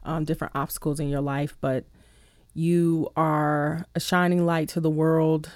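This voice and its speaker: Female radio interviewer, lowish register, conversational and complimentary, dry studio sound. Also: little pitch movement, measured pace, a little mellow, dry recording. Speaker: radio host interviewing a guest.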